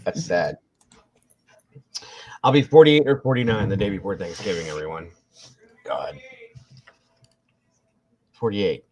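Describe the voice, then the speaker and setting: A man's voice: low-pitched, a little slow, pauses often, a crowd in the background. A man in his forties chatting casually on a livestream, reading out viewer comments and musing aloud. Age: 40s. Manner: reads out chat, chatty and musing. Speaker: livestream co-host. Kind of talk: casual live chat. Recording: dull lo-fi audio.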